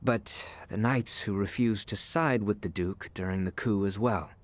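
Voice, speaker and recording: A man's voice: on the low side, unhurried, natural voice. An actor playing a formal, earnest man of a royal court in a video game. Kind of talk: video game cutscene acting.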